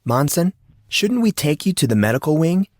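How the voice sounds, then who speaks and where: A man voicing a polite, knowing teenage schoolboy in audiobook dialogue, calm and measured. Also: a little high, no echo, studio quality, voice pushed up. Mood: concerned, polite.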